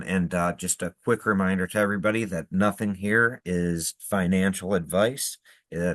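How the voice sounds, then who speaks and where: Deep-voiced male podcast host, dry sound. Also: a bit bright, no echo. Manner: loosely phrased questions with fillers. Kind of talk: casual interview hosting.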